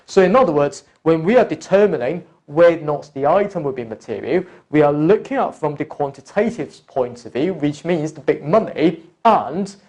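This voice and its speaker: Mellow-voiced man teaching an accounting course. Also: fairly high pitch, animated. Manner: deliberate, instructional, often addressing the learner. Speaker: accounting exam course tutor. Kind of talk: explanatory lecture, talking through examples.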